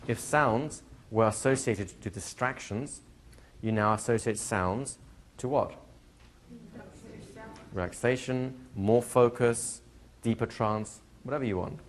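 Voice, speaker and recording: A man teaching a hypnotherapy class to a live group, explaining plainly and slipping into slow, soothing hypnotic patter for demonstrations. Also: mid-range voice, a little hiss or hum. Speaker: hypnosis trainer. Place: training room with a live audience.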